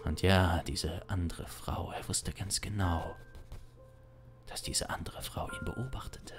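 A young man narrates an improvised audio drama in a very deep voice. He goes very slowly and broodingly, building suspense, with instrumental music clearly behind him. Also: steady tone. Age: young adult. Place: small home studio, indoors. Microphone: close studio microphone.

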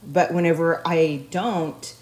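A woman's voice: low voice, fairly even intonation, slow pace, darkish tone. A woman vlogging casually in a chatty, rambling way with lots of fillers, sounding congested from a head cold, speaking from an emptied home office. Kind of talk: casual chat to camera. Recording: echoey empty room.

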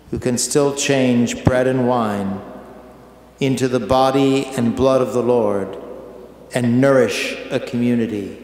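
An older American man, an archbishop, reading a homily in a measured, solemn way with long pauses in a large church. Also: medium pitch, a little mellow. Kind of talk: slow read homily. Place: large shrine church, indoors. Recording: echoey church with long reverb.

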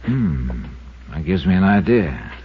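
An actor playing a brisk, wry insurance investigator who also narrates, in a 1950s radio detective drama. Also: male voice, sits low, very animated, slightly rough, exaggerated voice. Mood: curious, probing. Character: brisk, confident male insurance investigator hero. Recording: dull 1950s radio recording with music.

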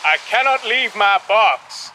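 A man's voice: sits high, lively intonation, takes it slowly, bright, forceful tone, slight background noise, voice pushed up, exaggerated voice, rough recording. A man voicing a desperate, frightened, half-mad passenger in an audiobook, insistent and frantic. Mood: desperate, stubborn. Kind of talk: audiobook character dialogue.

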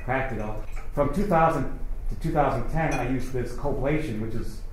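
A man's voice: mid-range voice, normal speed. Man lecturing in an echoey banquet room. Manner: chatty, conversational, frequent restarts. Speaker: surgeon giving a talk. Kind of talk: informal conference lecture. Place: hotel banquet room with seated audience. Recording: echoey room, faint ambient noise.

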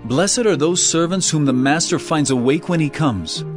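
An actor playing an earnest teacher preaching to his followers in a dramatized scripture reading, with solemn, emphatic, measured oratory. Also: fairly high pitch, expressive, over music, close to an everyday voice. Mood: earnest teaching.

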